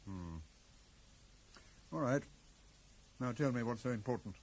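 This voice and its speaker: An actor playing a middle-aged, very correct British professor in a radio drama, guarded, brusque and easily affronted. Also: male voice, medium pitch, expressive, a little slow, darkish tone. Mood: irritated, indignant. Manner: stiff, formal, brusque. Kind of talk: 1980s radio drama acting. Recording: faintly noisy 1980s radio broadcast.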